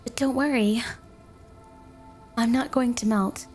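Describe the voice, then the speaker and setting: Yandere snow woman for ASMR roleplay, played by a woman: slightly high pitch, affectionate, flirtatious, clingy, light music underneath. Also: fairly even intonation, slow, darkish tone. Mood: tender, reassuring. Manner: flirty, affectionate, teasing, coy. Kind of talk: ASMR roleplay, intimate monologue to a listener.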